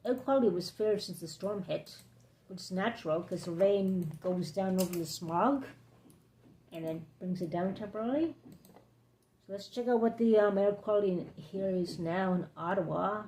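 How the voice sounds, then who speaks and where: A woman with a hoarse, scratchy throat, hosting a rambling late-night livestream chat from home, halting and drifting between topics. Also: medium pitch, warm tone. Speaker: livestream host.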